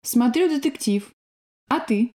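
Mellow woman reading lesson drills. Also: a little high, animated. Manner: clear, measured, textbook delivery. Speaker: language course reader. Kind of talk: scripted language drill reading.

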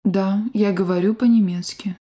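Female course voice, soft dark tone, careful even delivery for drill prompts and answers. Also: mid-range voice. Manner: clear, deliberate, evenly paced. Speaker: language course speaker. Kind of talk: scripted language drill reading.

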